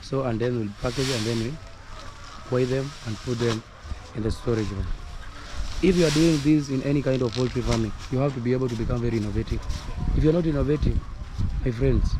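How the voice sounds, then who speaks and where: A hoarse man with a Kenyan accent, earnestly coaching viewers on his poultry farm in a repetitive manner. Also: medium pitch, a little fast. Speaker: poultry farmer. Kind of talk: casual instructional vlog. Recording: noisy outdoor recording with roosters crowing.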